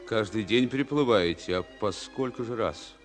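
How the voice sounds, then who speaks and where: An actor playing a stern, resolute Red Army captain of about forty in a wartime radio drama, clipped and commanding. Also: male voice, fairly low pitch, normal speed, over music, close to an everyday voice. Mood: quiet, curious. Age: middle-aged. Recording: vintage radio play, reverberant hall.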